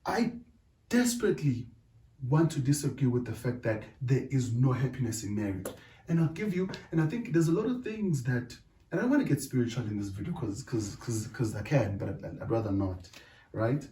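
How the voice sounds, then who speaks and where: A middle-aged South African man whose first language is Zulu. He talks in a deliberate way in a casual discussion and keeps checking in with "right?". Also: medium pitch, animated, mellow, a little hiss or hum. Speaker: podcast co-host. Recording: echoey room, distant mic.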